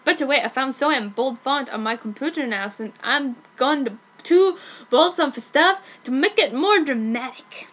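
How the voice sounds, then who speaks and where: A woman's voice: sits high, expressive, moderate pace, a bit bright. A woman giving a comic dramatic reading of fan fiction in a mock-dramatic, exaggerated manner. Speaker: amateur fan fiction reader.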